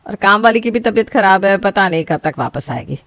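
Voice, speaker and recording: A woman's voice: medium pitch, lively intonation, a little fast, fairly bright tone, no echo. A woman voicing a scolding mother-in-law. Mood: bossy. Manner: scolding, bossy, calls everyone beta. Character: strict frugal middle-aged mother-in-law, scolding. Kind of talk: scripted family story voicing.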